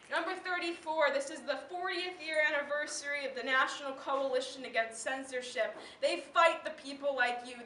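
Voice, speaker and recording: High, bright teenage girl performing slam poetry. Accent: American. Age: teen. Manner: impassioned, emphatic, declamatory with deliberate pauses. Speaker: slam poet. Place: live event in a room. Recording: roomy, distant mic, faint background noise.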